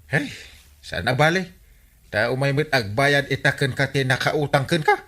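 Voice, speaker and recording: A man's voice: mid-range voice, animated, moderate pace, a bit bright. A man acting a flustered, blustering village captain with a Filipino accent in a comedy radio drama. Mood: exasperated. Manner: blustering, exclamatory, swooping interjections. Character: male village captain Inteng, excitable, flustered debtor.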